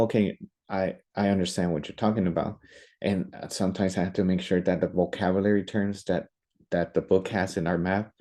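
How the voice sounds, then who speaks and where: Low, mellow-voiced young male teacher. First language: Spanish. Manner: hesitant, many fillers and restarts. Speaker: math teacher. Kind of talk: casual spoken presentation.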